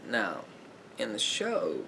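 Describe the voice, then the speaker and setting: Slow, creaky man in ASMR therapist roleplay. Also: fairly low pitch, huge pitch swings, sharp tone, slight background noise. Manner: measured, halting, explanatory.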